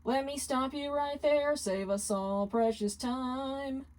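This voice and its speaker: A woman acts out a vengeful demon ex-husband, pitched up from plain talk. He is cold, indignant and mocking, in a theatrical story reading. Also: takes it slowly, darkish tone. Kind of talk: dramatic fan fiction reading, partly sung.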